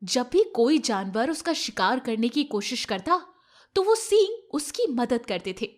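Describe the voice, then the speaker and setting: Female narrator for kids' fables: high register, animated intonation, scripted storytelling. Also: measured pace.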